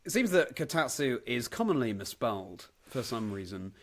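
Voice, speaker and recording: A man's voice: mid-range voice, big pitch swings, normal speed. A man hosting an interview chat, speaking politely and appreciatively in wordy, encouraging turns. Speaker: interview show host.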